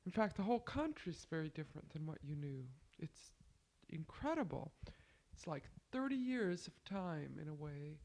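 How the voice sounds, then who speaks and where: A woman's voice: deep voice, very animated, normal speed, a little mellow, rough recording. Chatty woman with a stuffy nose. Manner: chatty, rambling, musing aloud. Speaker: family member sending a taped letter. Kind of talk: casual spoken letter. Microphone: home cassette recorder.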